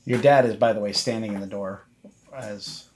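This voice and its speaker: A man acting as game master, improvising narration in a halting, conversational way. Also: lowish voice, darkish tone, a little hiss or hum.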